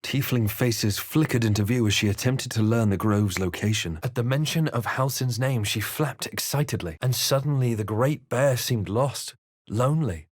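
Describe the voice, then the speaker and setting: An actor playing a composed, brooding adventurer in a video game, recounting the journey with measured, grave, dramatic narration. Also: male voice, medium pitch, no echo, studio quality, close to an everyday voice.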